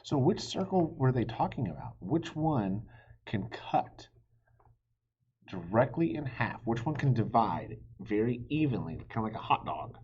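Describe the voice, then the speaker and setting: A man narrates a children's storybook at an unhurried pace with very animated pitch. His voice is a little husky, and the recording sounds slightly dull. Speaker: storybook reader. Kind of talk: expressive read-aloud narration for children.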